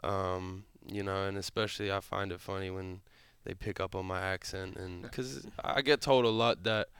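A young man with an Australian accent, a guest on a podcast, answering in a relaxed, halting way with lots of fillers. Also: sits low, clear, resonant tone, no echo. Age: young adult. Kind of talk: podcast interview.

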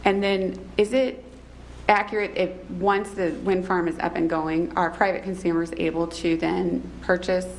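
A woman board member asking questions at a public meeting in a polite, inquiring way. Her voice is lowish and strongly gravelly with vocal fry. A faint room noise sits behind her. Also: flat delivery, moderate pace, rough recording.

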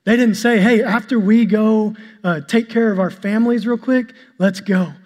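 A male pastor preaching a sermon to his congregation, with an even delivery he describes as monotonous. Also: sits high. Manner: calls himself monotonous and boring-voiced; preaching, shifts to a soft, slow prayer. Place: church sanctuary. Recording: long hall reverb with soft instrumental music.

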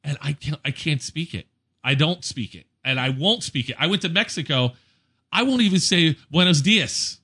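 Bright-voiced, animated male storyteller. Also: a little high, normal speed, slightly rough. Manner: animated storyteller, acts out exchanges. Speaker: event DJ. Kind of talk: casual anecdotal chat.

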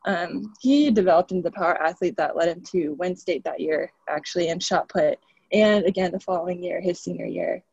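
A woman's voice: lowish voice, fairly quick, creaky, darkish tone, breathy edge, dry recording, highs cut off. A woman in her twenties from Oregon, a student athlete chatting upbeat as a podcast guest, laughing often. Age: 20s. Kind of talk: podcast interview.

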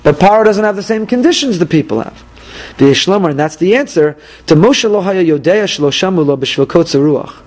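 Animated high-voiced rabbi teaching. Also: male voice, a little fast. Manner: animated, questions the audience, repeats key phrases. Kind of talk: Torah class lecture.

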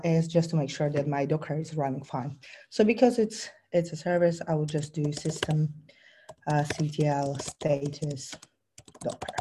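A woman's voice: low voice, mellow. A woman with a cold giving a technical presentation, explaining a live demo with frequent ums and restarts. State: has a bad cold. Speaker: presenter giving a tech talk.

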